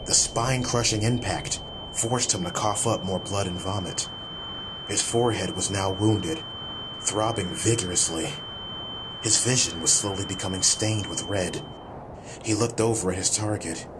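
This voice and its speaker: A man's voice: on the low side, huge pitch swings, unhurried, heavy background noise. A man reading scripted, dramatic action-fantasy narration as an audiobook narrator.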